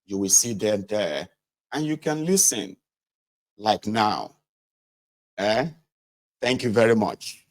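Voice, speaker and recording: A man's voice: on the low side, lively intonation, slow pace, long pauses. A man hosting a casual livestream podcast, talking to his listeners and checking in with short tag questions. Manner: conversational, checks in with listeners using "eh?" and "right?". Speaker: podcast host reading a book aloud.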